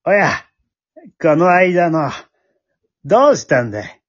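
Guy putting on a kindly grandpa shopkeeper, super slow, a bit high, radio play. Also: big pitch swings, slightly rough, muffled recording, heavily acted. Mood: genial. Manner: drawn-out, old-fashioned genial phrasing. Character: kindly, unhurried old stationery shop man. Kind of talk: amateur radio drama acting.

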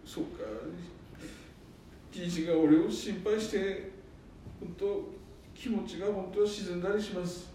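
A man's voice: steady tone, measured pace, long pauses, dark tone. A man playing a weary, dutiful eldest son with a Nanbu accent in an amateur radio drama. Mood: subdued. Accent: Nanbu dialect of northern Japan. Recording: very echoey, distant mic, faint rumble.

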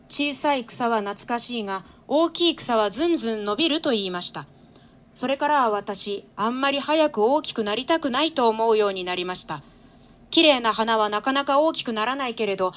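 A woman's voice: fairly high pitch, animated, brisk, close to an everyday voice. A woman playing a precocious, cheeky little royal boy in a read-aloud stage play, with a childlike, pert way of telling things. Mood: pert, chatty.

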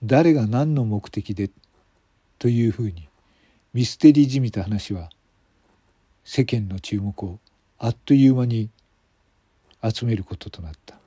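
Male mystery narrator: husky, mellow, dry sound, measured phrase-by-phrase scripted reading. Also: on the low side, dry recording. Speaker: narrator and author of an amateur radio drama.

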